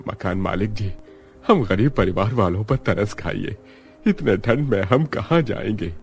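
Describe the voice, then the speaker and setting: A man's voice: on the low side, expressive, unhurried, soft background music. A man voicing a poor, humble, pleading old father in a cartoon story.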